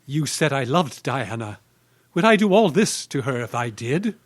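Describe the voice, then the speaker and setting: A man's voice: mid-range voice, very animated, normal speed, no room sound, studio quality. A man playing an indignant, threatening middle-aged father cornered as a murderer, in old-time radio melodrama style.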